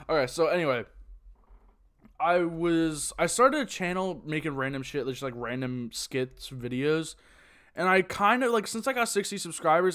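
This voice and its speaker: A man's voice: a little high, a bit bright. A young man in his late teens, streaming from home on a desk mic and chatting casually, rambling with lots of fillers and self-corrections. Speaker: streamer reacting to videos. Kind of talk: casual live-stream chat.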